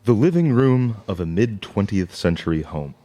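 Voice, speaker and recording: A man reading scripted scene narration for a live radio play in a measured, formal manner. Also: lowish voice, very animated, dark tone. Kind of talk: scripted narration of stage directions.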